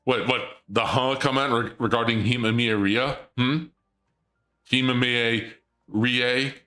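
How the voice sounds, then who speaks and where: A young man streaming and chatting casually, rambling and joking in a theatrical dark-lord persona. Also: medium pitch, fairly even intonation, slow, soft background music.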